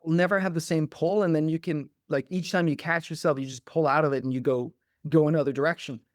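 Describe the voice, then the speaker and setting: A middle-aged man, speaking on a podcast in a lively, reflective way and sprinkling his talk with "like". His voice sits a little high for a man. The recording is close and dry. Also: quick pace, no echo. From Denmark. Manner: animated, reflective, uses many "like" fillers. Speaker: podcast guest, coach. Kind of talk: conversational interview.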